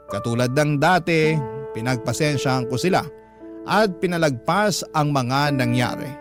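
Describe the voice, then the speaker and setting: A man's voice: fairly high pitch, lightly acted. A man with a Filipino accent acting a disheartened partner who narrates his story for radio in a confiding, resigned way. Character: disheartened man recounting his troubled relationship. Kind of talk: first-person dramatic narration for radio.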